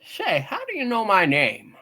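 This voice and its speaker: A man's voice: sits high, huge pitch swings, moderate pace, bright, forceful tone, full character voice. A man playing a troubled, skeptical everyman in a hammed-up radio play reading, hesitant and folksy with stammered starts. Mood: wary, incredulous. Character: troubled, skeptical, despairing everyman in old film.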